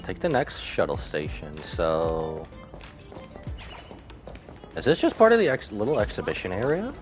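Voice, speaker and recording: A man's voice: low-pitched, wildly swooping pitch, measured pace. A man giving casual live commentary as he streams a video game. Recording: game music behind the voice.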